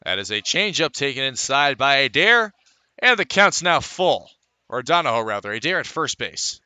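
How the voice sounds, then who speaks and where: A man's voice: medium pitch, sing-song, normal speed, bright, forceful tone, smooth, dry recording. A man calling live baseball play-by-play for radio, in clipped phrases with dramatic calls.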